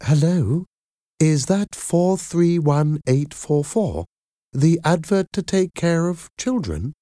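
Man voicing a high, warm, polite woman caller. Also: sing-song, slow, dry recording, clean studio sound.

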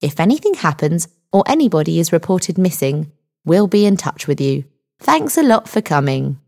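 Woman voicing a patronizing, low-pitched police sergeant. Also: very animated, gravelly, darkish tone. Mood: dismissive. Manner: condescending, measured. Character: patronizing male police sergeant, friendly but dismissive. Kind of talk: audiobook character dialogue.

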